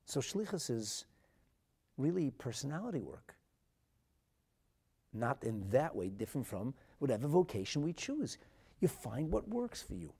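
Male rabbi, North American accent, husky voice, swooping pitch, dramatic sermon delivery, emphatic repetition. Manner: emphatic and dramatic, swinging from hushed asides to loud declarations; deliberate phrasing with rhetorical repetition. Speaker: rabbi and Torah teacher. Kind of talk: religious sermon.

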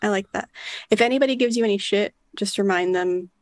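A woman's voice: mid-range voice, fairly quick. A woman player introducing herself and roleplaying, blunt and offhand. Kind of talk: in-character roleplay and casual intro.

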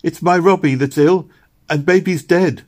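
A man voicing a distressed poor little girl who pleads urgently in a high, tearful voice. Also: steady tone, moderate pace, close to an everyday voice. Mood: pleading.

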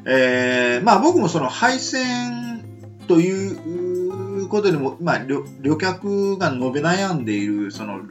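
A man co-hosting a casual radio talk show, chatty and quick to agree and laugh. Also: high voice, expressive, faint music behind. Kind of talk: casual chat.

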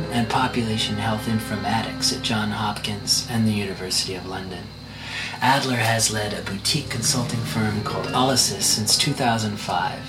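A man hosting a podcast interview, friendly and quick to laugh, hesitant with fillers when asking questions. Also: mid-range voice, low-quality recording. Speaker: podcast host. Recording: music playing behind.

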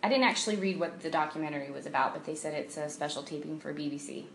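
A woman's voice: deep voice, quick pace, creaky, a little hiss or hum. A woman co-hosting a podcast in bubbly, playful, jokey casual chat. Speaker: podcast co-host.

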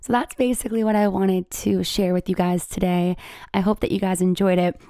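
Female podcast host, solo motivational chat: slightly raspy with vocal fry, earnest and confiding, close dry sound. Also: mid-range voice, fairly even intonation, fairly quick, dark tone, no room sound.

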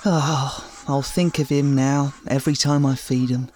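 A man's voice: mid-range voice, steady tone, unhurried, darkish tone, close to an everyday voice. An actor playing a self-pitying, callous married man with a blunt, whining manner in a comedy audio drama. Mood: self-pitying, dismissive.

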